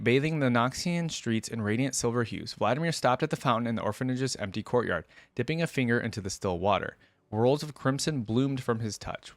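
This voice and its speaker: A man hosting a reaction video, reading a fantasy story aloud in an expressive style with casual asides. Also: mid-range voice, dry recording.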